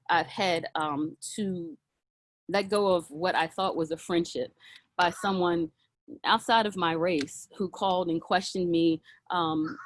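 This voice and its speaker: A woman sharing a personal reflection with a group on a video call, earnest and halting, with many fillers and restarts. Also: on the low side, dry recording. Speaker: circle participant.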